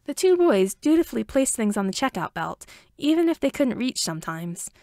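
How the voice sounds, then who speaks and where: Lively high-pitched woman narrating a story. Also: brisk, no echo, clean studio sound. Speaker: amateur audiobook narrator.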